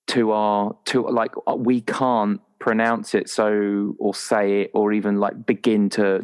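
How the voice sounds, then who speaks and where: A man chatting casually and haltingly as a player working out a roleplaying character, often restarting his thoughts. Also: lowish voice, smooth. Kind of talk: casual, improvised character discussion.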